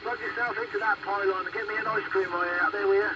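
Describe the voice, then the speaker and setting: Male CB operator, casual and high-pitched, thick static on the transmission. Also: fairly even intonation, fast talker. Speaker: CB radio operator. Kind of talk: casual radio banter. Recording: distant CB radio, heavy static.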